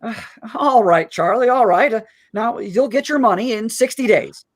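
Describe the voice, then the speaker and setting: A man plays a kind-hearted small-town loan manager in a radio drama, his pitch swinging widely. He stammers and halts, flustered and earnest, as if always trying to persuade. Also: expressive. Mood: placating. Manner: stammering, halting, pleading. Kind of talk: live radio play acting.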